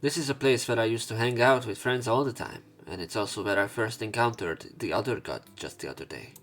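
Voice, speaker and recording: A man doing a let's play, reading visual novel narration and dialogue aloud, somewhat haltingly, correcting himself as he goes. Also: on the low side, a bit bright. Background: game music.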